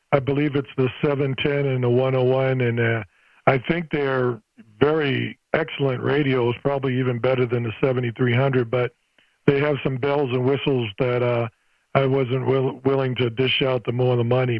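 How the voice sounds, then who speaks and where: A man's voice: mid-range voice, fairly even intonation, moderate pace, no echo. An older American man chatting casually as an amateur radio operator over the air. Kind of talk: casual ham radio chat. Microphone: radio microphone. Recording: dull, lo-fi ham radio transmission.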